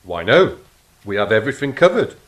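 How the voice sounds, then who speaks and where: A man's voice: lowish voice, sing-song, brisk, a bit bright, rough recording. A man playing a dreamy, earnest, soothing angel helper in an audio drama, with a wistful manner. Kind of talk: stage-style audio drama acting.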